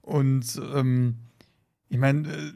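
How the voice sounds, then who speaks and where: Male co-host, medium-pitched voice, thoughtful critic in a casual podcast chat. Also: flat delivery, very slow, gravelly, dark tone. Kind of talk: casual discussion of an audio drama.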